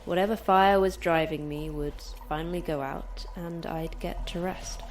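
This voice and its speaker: A woman's voice: low voice, fairly even intonation, moderate pace, slight background noise, natural voice. A woman playing an injured, stubborn fighter in an audio drama, terse, dry and defiant. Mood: weary, reflective. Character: injured, concussed, stubborn female fighter trapped by a monster.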